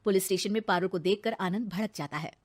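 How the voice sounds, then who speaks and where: A woman's voice: medium pitch, fast talker. A woman narrator reading a scripted moral story in a dramatic storytelling style.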